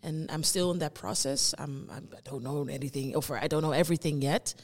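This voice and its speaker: A young woman from the Netherlands, a guest performing artist, speaking reflectively and searching for her words with many pauses. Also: sits low, darkish tone, big-room sound. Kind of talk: reflective conversation.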